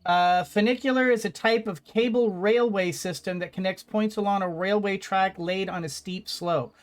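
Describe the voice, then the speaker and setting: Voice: mid-range voice, fairly even intonation, moderate pace. A let's play streamer giving casual game commentary, rambling and thinking aloud.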